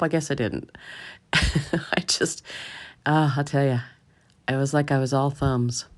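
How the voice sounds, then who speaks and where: A middle-aged woman with a low, slightly hoarse voice, narrating a craft tutorial in a casual, chatty, self-deprecating way. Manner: chatty, self-deprecating, chuckles at own mishaps. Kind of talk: casual voice-over narration of a DIY craft demo.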